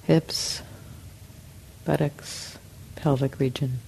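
A woman teaching a guided meditation in calm, measured short phrases with long pauses between them. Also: very deep voice, vocal fry, a little hiss or hum, low-quality recording. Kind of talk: guided meditation, slow spoken instructions.

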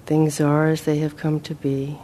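A woman leading a guided meditation as a teacher, speaking gently and in a measured way with long pauses. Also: sits low, little pitch movement, slight background noise.

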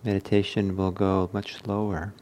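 A man leading a guided meditation as a teacher, speaking in short, measured phrases with long pauses. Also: sits low, little pitch movement, darkish tone.

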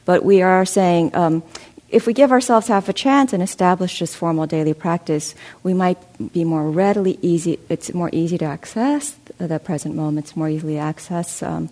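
A woman with a lowish, slightly gravelly voice guides a meditation class. She explains slowly and gently, pausing with soft fillers as she answers a student's question. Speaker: meditation teacher. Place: meditation center hall.

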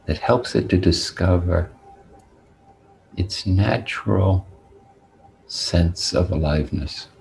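Male meditation teacher, low gravelly voice, deliberate phrasing with long pauses. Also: darkish tone, slight background noise, rough recording. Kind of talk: guided meditation talk.

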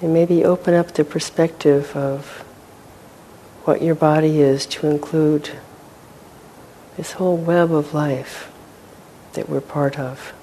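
Slow, mellow woman guiding meditation. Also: fairly even intonation, rough recording. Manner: gentle, unhurried, with long pauses. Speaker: meditation teacher. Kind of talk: guided meditation. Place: meditation hall with a group. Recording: faint rumbling background noise.

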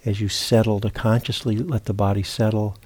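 A man teaching meditation, giving guided instruction in a halting, unhurried way with long pauses and repeated words. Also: on the low side, flat delivery, a little mellow, husky. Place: meditation retreat center.

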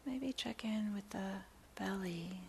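A woman leads a guided meditation in a gentle, hushed voice that often thins almost to a whisper. Her delivery is very slow, with short phrases separated by long silences. Her pitch sits in a middle range, and a light hiss runs behind the recording. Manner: soft, breathy, near-whispered delivery; gentle, unhurried, with long pauses. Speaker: meditation teacher. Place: meditation center. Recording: clear background hiss.

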